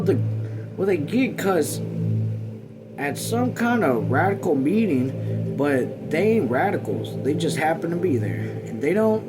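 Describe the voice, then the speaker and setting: A man reading a novel aloud as a read-along host, somewhat haltingly, stumbling over words and voicing folksy dialogue. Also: a little high, rough recording. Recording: music playing behind the voice. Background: music.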